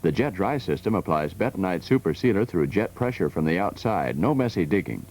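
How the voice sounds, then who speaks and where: A man's voice: low-pitched, big pitch swings, brisk, darkish tone. An American man reading a scripted 1970s local commercial as its announcer.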